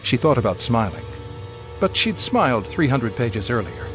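A man's voice: medium pitch, sing-song, moderate pace, loud music behind the voice. A man reading scripted narration as a radio host.

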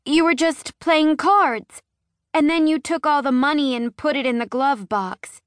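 A woman's voice: high-pitched, unhurried, no echo, studio quality, pitched above the performer's own voice. A woman voicing a sassy, frightened four-year-old girl in an audiobook, childlike and pleading.

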